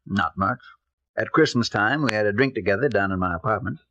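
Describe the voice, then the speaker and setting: Casting: man playing guarded, laconic male landlord type, lowish voice, vintage radio drama, muffled with slight hiss. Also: a little fast, lightly acted. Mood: guarded, terse. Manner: terse, laconic. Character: reserved, guarded male apartment house manager. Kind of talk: 1950s radio drama acting. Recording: dull, hissy old radio recording.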